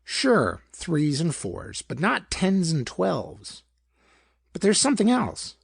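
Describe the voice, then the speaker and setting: Man doing a gravelly, folksy small-town lawman. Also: on the high side, huge pitch swings, slow pace, no room sound, clean studio sound, pitched above the performer's own voice, full character voice. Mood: puzzled, insistent. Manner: colloquial, slangy, hesitant explaining.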